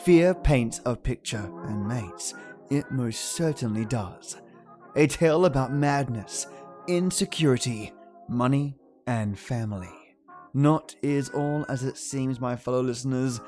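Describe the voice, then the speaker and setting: A man's voice: mid-range voice, measured pace, darkish tone. A man hosting a podcast, delivering a scripted introduction in a theatrical way that savours each phrase. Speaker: podcast host. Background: music behind the voice.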